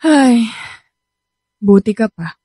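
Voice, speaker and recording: A woman playing a hesitant, soft-hearted young woman in a Filipino radio romance, speaking with a Filipino accent. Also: a little high, animated, very slow, slightly rough, dry recording, studio quality, full character voice. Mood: modest, resigned. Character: young woman torn between boyfriend and friend. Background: background music.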